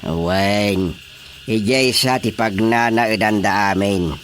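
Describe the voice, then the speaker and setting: A man's voice: fairly low pitch, takes it slowly, fairly bright tone, slight background noise. A man playing a chatty, practical village official in a radio drama comedy, talking emphatically. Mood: conversational.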